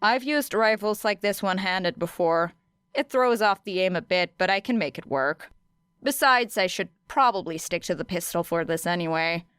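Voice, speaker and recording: A woman's voice: lowish voice, lively intonation, moderate pace, fairly bright tone, clean tone, dry recording, clean studio sound. A woman playing a tough, capable young girl in an audiobook, blunt, wry and matter-of-fact.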